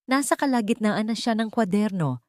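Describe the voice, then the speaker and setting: A woman narrating a scripted, dramatic audio story in measured, deliberate phrases. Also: medium pitch, lively intonation, darkish tone, dry recording, clean studio sound.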